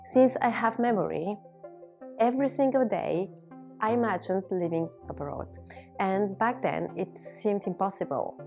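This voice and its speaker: Creaky-voiced woman in her thirties from Bulgaria. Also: mid-range voice, a little slow, darkish tone, soft background music. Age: 30s. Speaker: video blogger. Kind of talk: reflective personal monologue to viewers.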